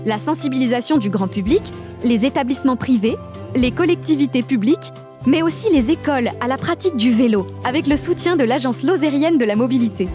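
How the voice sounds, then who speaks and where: A woman from a regional nature park staff, giving upbeat scripted narration for an animated explainer. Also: sits high, music in the background. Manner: upbeat, informative, lists facts briskly.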